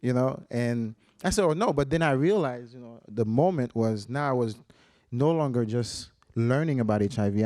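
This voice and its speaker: A man's voice: lowish voice, warm tone, dry recording, clean studio sound. A man in his forties from Haiti, a researcher on a conference panel, telling his story earnestly with many restarts and fillers. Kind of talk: panel discussion, personal story.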